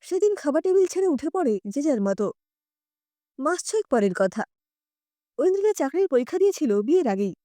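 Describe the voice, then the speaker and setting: A woman's voice: high-pitched, very animated, brisk, long pauses, dark tone, no room sound, studio quality. A woman narrating a scripted story expressively as a storyteller.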